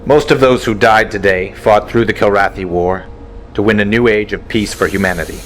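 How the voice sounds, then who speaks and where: A man playing a weary fighter-wing colonel who speaks in a solemn, measured way in a fan audio drama. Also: on the low side, steady tone, fairly bright tone, a little hiss or hum, close to an everyday voice. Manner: solemn and measured, dryly blunt in private. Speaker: colonel and squadron commander.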